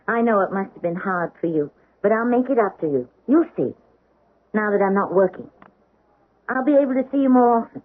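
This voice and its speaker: Woman acting earnest, husky stage actress in radio drama. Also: medium pitch, lightly acted. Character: troubled stage actress, devoted but firm daughter. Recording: muffled old radio broadcast.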